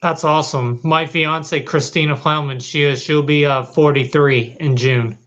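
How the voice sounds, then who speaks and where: A man in his late thirties talks earnestly as an interview guest. He pauses often and fills the gaps. His voice sits a little high, and the audio is dull and lo-fi. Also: steady tone, fairly bright tone. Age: late 30s. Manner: earnest, halting with many fillers. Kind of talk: casual interview.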